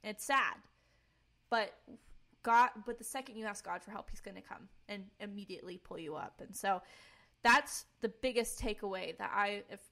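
A woman's voice: mid-range voice, moderate pace, gravelly, fairly bright tone. A young woman co-hosting a podcast, talking at length in a casual, emphatic way with a commanding voice. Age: young adult. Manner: talkative, informal, emphatic. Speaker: podcast co-host. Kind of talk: casual personal testimony and chat.

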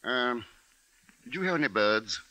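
An actor playing a grave, observant husband and father, narrating in a measured, deliberate 1950s radio drama style. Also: medium pitch, animated, pushed and bright, poor audio. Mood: uneasy, restrained. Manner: measured, deliberate, literary delivery.

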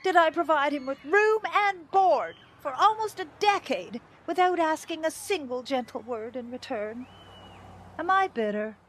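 A woman's voice: high-pitched, lively intonation, unhurried, a bit bright, slight background noise. An actress playing a bitter middle-aged small-town teacher and mother, giving wry, theatrical testimony in an audio drama.

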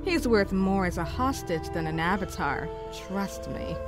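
A woman playing a cunning, taunting female pirate villain in an animated drama, drawling with menace. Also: medium pitch, unhurried, lightly acted. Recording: loud music under voice.

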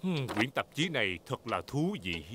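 A man's voice: medium pitch, sing-song, moderate pace, noisy background, close to an everyday voice. A man voicing a jolly middle-aged father pig in a dubbed preschool cartoon, with playful, exaggerated delivery. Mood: cheerful.

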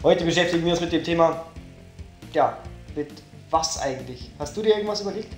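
A man's voice: a little high, animated, a little fast, roomy sound, soft background music. A young man hosting a comedy show with casual, scripted banter. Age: young adult. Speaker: host of a YouTube comedy show. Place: indoor room, on a couch.

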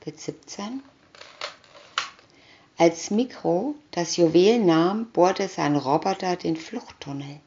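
Female storybook narrator: low-pitched, deliberate with pauses between captions, muffled lo-fi recording. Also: mellow, a little hiss or hum. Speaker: reader of picture-story booklets. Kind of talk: scripted narration of a children's comic story. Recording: dull lo-fi recording.

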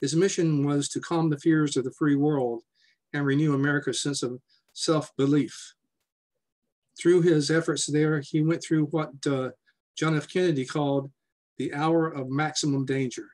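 A man's voice: a little high, fairly even intonation, normal speed, darkish tone. A man in his sixties, president of a society, welcoming attendees and introducing a speaker over a video call in a loose, joking way with fillers. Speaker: society president hosting a symposium. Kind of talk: casual conference welcome and introduction. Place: home room, indoors.

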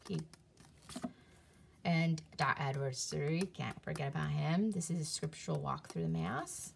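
A woman casually showing her CDs and reading their back covers aloud, halting often with fillers and restarts, recorded on a phone camera above a table indoors. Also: sits low, lively intonation, gravelly, slight background noise. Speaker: video creator reviewing CDs. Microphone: phone camera filming her hands on a table from above.

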